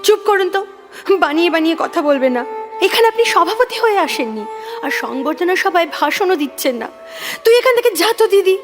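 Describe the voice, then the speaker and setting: A very high-voiced, poetic and troubled young woman in an audio drama. She speaks with brooding intensity, halting and repeating words as if fragile. Music plays clearly behind her. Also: animated. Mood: agitated. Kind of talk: Bengali audio drama acting.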